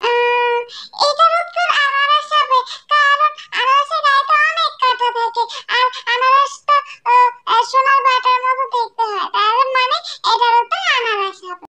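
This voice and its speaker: A woman's voice: extremely high pitch, normal speed, bright, forceful tone, pitched above the performer's own voice, exaggerated voice. A woman voicing an innocent, orphaned little girl bird in a cartoon, with a tiny childlike, plaintive voice. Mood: eager, thoughtful. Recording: echoey, music underneath.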